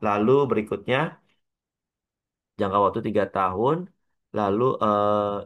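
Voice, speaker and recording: A man with an Indonesian accent lecturing as a teacher, working through an example step by step in a halting, repetitive way with frequent checking tags. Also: on the low side, steady tone, a bit bright. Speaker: accounting lecturer.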